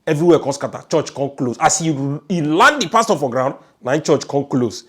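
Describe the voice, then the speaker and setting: A man's voice: fairly high pitch, animated, brisk, breathy edge. A man with a Nigerian accent giving informal, emphatic storytelling commentary, often repeating his phrases. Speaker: online news commentator.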